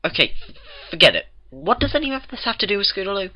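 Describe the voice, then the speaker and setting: A man voicing a fussy, anxious female unicorn scholar who keeps objecting in rapid exasperation. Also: high voice, big pitch swings, muffled recording, heavy background noise, voice pushed up. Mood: exasperated.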